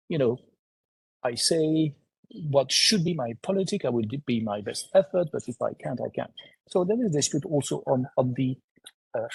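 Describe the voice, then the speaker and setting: A man speaking as a professor on a panel, halting with many fillers and restarts. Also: mid-range voice, slightly rough, dry recording, poor audio. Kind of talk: panel discussion remarks.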